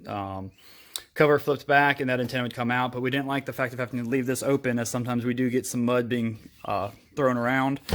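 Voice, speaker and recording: A man's voice: medium pitch, a bit bright. A man hosting a casual how-to video, explaining step by step as he demonstrates. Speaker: host of a DIY vehicle video.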